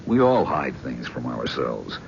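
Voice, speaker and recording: A calm, gravelly psychiatrist. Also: male voice, extremely low pitch, exaggerated voice. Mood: probing. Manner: measured, calm, probing. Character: calm probing male psychiatrist, middle-aged. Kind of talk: 1970s radio drama acting. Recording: dull old radio broadcast with faint hiss.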